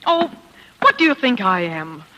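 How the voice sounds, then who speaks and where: An actress playing a jealous, vain, dramatic wife in a theatrical, self-pitying 1940s radio style. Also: female voice, medium pitch, big pitch swings, unhurried, background noise. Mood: jealous, bitter. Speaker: lead actress and first-person narrator. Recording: old 1940s radio recording with music.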